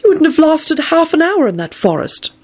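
A woman's voice: high-pitched, big pitch swings, moderate pace, darkish tone, voice pushed up. A woman voicing a kindly, eccentric old headmaster man with a delighted, rambling manner. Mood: beaming. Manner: genial, rambling.

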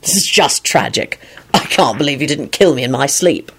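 A woman's voice: a bass voice, lively intonation, moderate pace, breathy edge, heavily acted. A woman voicing a wry, teasing man in a story, with dry amusement.

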